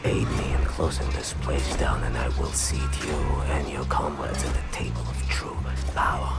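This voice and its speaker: A man playing an old prisoner and scheming resistance inventor in a video game, speaking in deliberate, halting phrases with a gravelly voice. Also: level tone. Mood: measured, conspiratorial. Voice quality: deep, gravelly, breathy. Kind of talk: video game cutscene acting. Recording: loud music under the voice.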